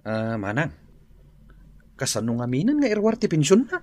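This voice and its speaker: A man with an Ilocano Filipino accent playing a breezy, scheming adult son and husband in a radio drama, in an animated, expressive manner. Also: a little high, moderate pace. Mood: casual.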